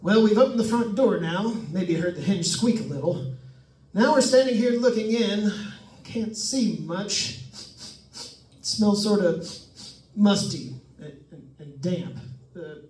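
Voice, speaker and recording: A man's voice: high voice, lively intonation, measured pace, pauses often, a little mellow. A man playing a jokey, chatty radio host on a ghost hunt in old-time radio drama style, with folksy asides to listeners. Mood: uneasy, narrating. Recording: echoey room, distant microphone.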